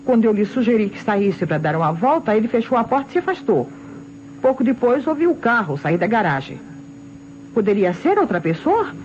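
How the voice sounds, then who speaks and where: A defensive, agitated Brazilian wife in a vintage radio crime play. Her high voice rises and falls dramatically in emphatic protest, on a dull old recording with faint noise. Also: sing-song, brisk, a little mellow. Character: defensive, agitated wife under police suspicion.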